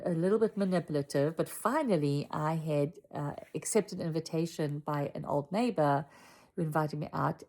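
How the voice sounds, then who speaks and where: Gravelly South African woman reminiscing. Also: deep voice, darkish tone. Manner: chatty and reflective, drifting into asides. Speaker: channel host. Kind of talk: casual personal storytelling to camera. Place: home room with a Christmas tree.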